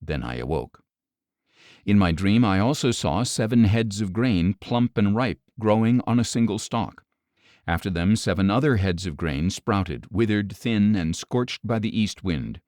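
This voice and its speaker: A man reading scripture aloud as a troubled, commanding king of ancient Egypt, measured and grave. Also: fairly low pitch, expressive, a little mellow, no room sound, studio quality.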